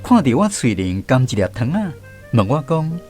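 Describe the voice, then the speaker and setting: A man narrating a story in a gentle, nostalgic, unhurried way. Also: medium pitch, sing-song. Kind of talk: scripted storytelling narration, reminiscing. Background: clear background music.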